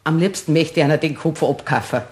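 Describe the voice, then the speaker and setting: A woman's voice: deep voice, fast, a little mellow, lightly acted. An actress playing a friendly, chatty middle-aged neighbor woman with a Bavarian accent. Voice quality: chatty, homely. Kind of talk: 1960s German radio play acting.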